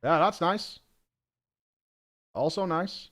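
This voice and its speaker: A man's voice: a little high, takes it slowly, fairly bright tone. A British man hosting a gaming show, giving casual, blunt live reactions and thinking aloud.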